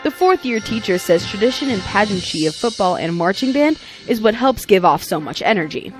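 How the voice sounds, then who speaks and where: A woman news reporter reading a scripted report in a clear, steady delivery. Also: medium pitch, a little fast. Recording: music playing behind.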